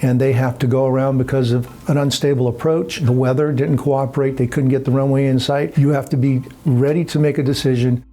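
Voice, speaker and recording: A man's voice: mid-range voice, little pitch movement, brisk, hardly any pauses, warm tone. A man speaking as a manager in an interview, explaining how his workplace runs. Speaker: air traffic control manager.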